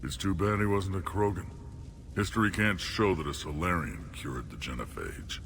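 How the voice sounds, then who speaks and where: A man voicing a gruff, battle-proud alien warrior leader in a video game, blunt and boastful. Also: low-pitched, moderate pace, a bit bright, slight background noise. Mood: somber, wry. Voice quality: gravelly.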